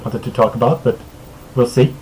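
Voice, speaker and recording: A man from Sweden whose first language is Swedish, hosting a casual streamed podcast, laid-back and quick to chuckle, with filler words and trailing sentences. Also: medium pitch, steady tone, a little mellow, slight background noise, poor audio. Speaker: podcast host.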